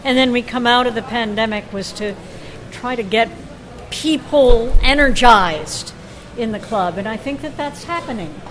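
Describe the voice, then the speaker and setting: A woman chairing a club meeting with informal, unscripted remarks, often halting and restarting her sentences. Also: a little high, a bit bright, a little hiss or hum.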